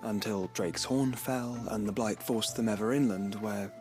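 A man's voice: lowish voice, steady tone, normal speed, a little mellow, natural voice. A man playing a learned, courtly companion in a fantasy video game, speaking formally and precisely. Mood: inquisitive. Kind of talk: video game cutscene acting. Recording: music playing behind the voice.

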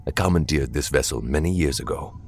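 Man playing a very deep-voiced alien pilot. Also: a little fast, darkish tone, soft background music. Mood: grave, calm. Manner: terse, matter-of-fact. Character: seasoned male alien space pilot, calm and grave.

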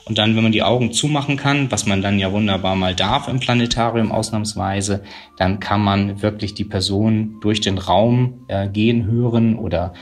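A man's voice: lowish voice, moderate pace. A man in his thirties explaining something in an interview on a video call. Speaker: planetarium representative.

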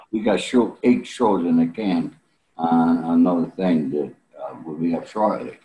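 An elderly man talking casually and bluntly as an interview guest, salty and pausing often, on a video call from a room at home. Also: sits low, warm tone, poor audio. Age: 70s or older. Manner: blunt, salty, with frequent pauses.

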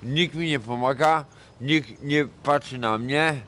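A middle-aged man from Poland, high and rambling, swearing a lot in a casual talk on a city street at night. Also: takes it slowly, a bit bright, slight background noise. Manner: crude and sweary, rambling, mixes in broken English. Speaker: homeless man interviewed on the street. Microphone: vlogger's handheld camera mic.

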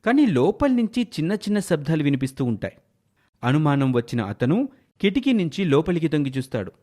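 A man delivers scripted story narration. His voice sits a little high and swings widely in pitch, giving the tale a dramatic, animated feel. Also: a little fast.

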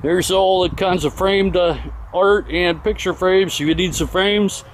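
A man with a notably high voice talks through auction items in a casual, unscripted way. His delivery is folksy and comes in short itemizing phrases. He is recorded outdoors on a handheld camera, with faint ambient noise behind him. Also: fairly even intonation, measured pace. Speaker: auction house presenter. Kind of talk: casual off-the-cuff walkthrough commentary of auction items. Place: outdoors in an open-air auction yard. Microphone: handheld camera held by the speaker.

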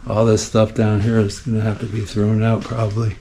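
A man's voice: lowish voice, completely flat delivery, mellow. A man giving casual, offhand exploring commentary to himself as he walks through an abandoned indoor space. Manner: unhurried offhand remarks to himself. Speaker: urban explorer vlogger. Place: dark indoor basement and kitchen of abandoned plaza. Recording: faint background noise and room ambience.

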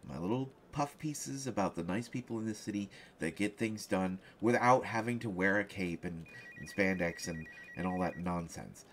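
A man playing a flustered, distracted reporter in an audio roleplay, halting and stammering, jealous and defensive. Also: lowish voice, slight background noise. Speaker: voice actor in a solo roleplay audio. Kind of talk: one-sided conversational audio roleplay.

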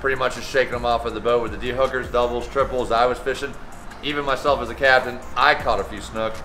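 A man's voice: medium pitch, steady tone, fast talker, sharp tone, faint music behind, rough recording. A young man, a fishing charter captain, giving an easygoing recap of the day to a handheld camera at an outdoor marina dock. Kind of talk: casual fishing report to camera.

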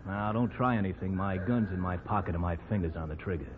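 A man's voice: deep voice, quick pace, close to an everyday voice. An actor playing a tough but genial policeman in a 1940s radio drama. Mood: tough, businesslike. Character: tough, genial cop escorting his captured prisoner. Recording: muffled, noisy vintage radio recording.

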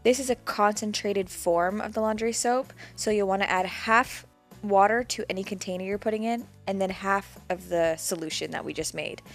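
A woman's voice: mid-range voice, moderate pace, soft background music. A woman in her twenties giving a plain, practical step-by-step voice-over for a homemade cleaning recipe. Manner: plain, practical, walks through each step. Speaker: DIY homesteading vlogger.

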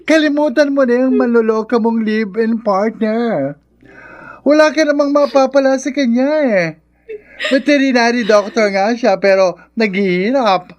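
A man's voice: very high-pitched, a little slow, highs cut off. A sassy Filipino big-brother confidant. He takes his time with each phrase, blunt and emphatic. The style is theatrical radio drama advice. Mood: sassy, consoling.

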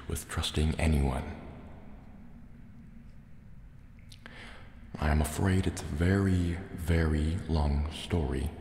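A man acts out a wary sea serpent dragon in a soft ASMR roleplay. The creature speaks slowly and formally, with a gravelly rumble and hesitant phrasing. Long cave reverb surrounds every word. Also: full character voice. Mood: suspicious, guarded. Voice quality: gravelly, softly spoken. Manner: slow, hesitant, formal wording. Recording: cavernous echo, long reverb, faint water noise.

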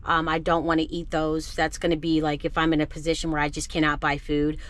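A woman's voice: low voice, completely flat delivery, brisk, a bit bright. A woman in her thirties chatting casually to a handheld camera from her van and around the city, self-assured and talkative. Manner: chatty, self-assured, calls herself "your girl". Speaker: van-life vlogger. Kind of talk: casual vlog chat to camera. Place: inside a parked van and outdoors in a city lot, also in a store. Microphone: handheld camera at arm's length.

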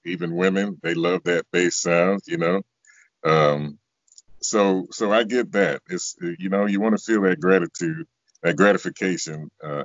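A middle-aged American man with a very deep bass voice, chatting casually as an interview guest on a video call. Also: no room sound. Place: small room at home, indoors. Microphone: video call, close to device. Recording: dull lo-fi video call audio.

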